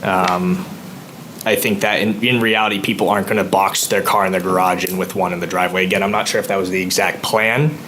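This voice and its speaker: A man speaking at public comment in a town meeting room, nervous and halting, with many ums. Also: sits low, flat delivery, a bit bright, a little hiss or hum.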